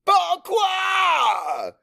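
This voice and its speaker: A man's voice: very high-pitched, wildly swooping pitch, drawn-out words, creaky, sharp tone, no room sound, shouting, clean studio sound, pitched above the performer's own voice, exaggerated voice. A man voicing a fiery, combative old Cajun man for an audiobook, emphatic and folksy, mixing French into his English. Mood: angry goading.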